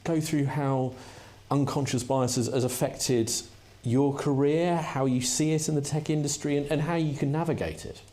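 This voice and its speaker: A man hosting a conference fireside chat, relaxed and chatty, with small hesitations. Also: medium pitch, moderate pace. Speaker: host.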